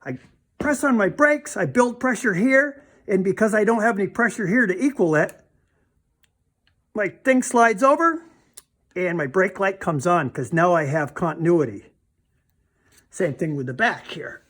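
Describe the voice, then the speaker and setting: A man's voice: high-pitched, expressive, measured pace, pauses often, fairly bright tone. Casting: male DIY demonstrator, informal and plainspoken, talking through a hands-on mechanical job as he works. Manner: plainspoken, informal, thinking aloud while handling parts. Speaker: DIY car repair demonstrator. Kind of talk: casual hands-on repair demonstration.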